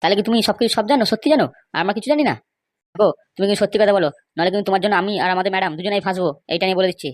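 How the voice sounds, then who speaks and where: A man's voice: sits high, rapid-fire, dry recording, natural voice. A man with a West Bengal accent voicing argumentative, indignant men in an amateur comedy cartoon, scolding and insistent. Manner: scolding, insistent, rhetorical questions.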